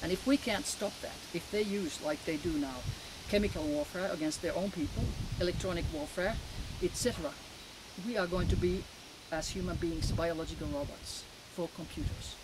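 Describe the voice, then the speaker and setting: She is a woman in her seventies with a Finnish accent. She answers interview questions as personal testimony, leaning hard on words and breaking up her phrases. Clear outdoor noise sits behind her. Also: lowish voice, moderate pace. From Finland. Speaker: interview guest. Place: outdoors in a garden.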